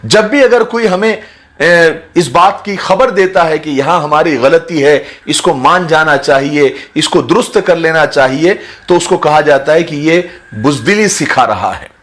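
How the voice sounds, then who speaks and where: High-pitched man, earnest explainer. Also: a little slow, a bit bright. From India. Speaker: social commentator speaking to his video audience. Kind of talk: earnest explanatory talk, sermon-like.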